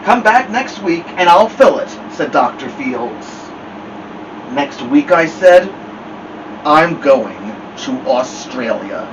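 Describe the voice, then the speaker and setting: A man's voice: on the high side, very animated, slow. A man hosting a children's story time, speaking with dramatic emphasis as in a picture book read-aloud. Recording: dull, distant mic with clear background noise.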